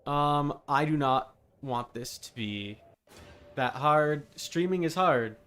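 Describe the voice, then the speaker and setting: A man's voice: a little high, animated, slow, a bit bright, clean tone, soft background music. A man doing casual live game-stream commentary, muttering to himself and repeating his phrases. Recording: noticeable background noise.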